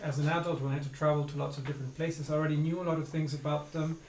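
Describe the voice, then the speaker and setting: A middle-aged man from Venezuela, explaining in a measured way with small restarts. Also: a little high, little pitch movement, darkish tone. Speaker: interviewed parent. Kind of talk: casual explanation. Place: room with a wall map, indoors. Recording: echoey room, faint noise.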